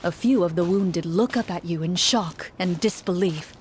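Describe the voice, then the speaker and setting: A woman's voice: on the low side, moderate pace, a little mellow, a little hiss or hum. A woman game streamer reading story narration aloud on a livestream. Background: game music.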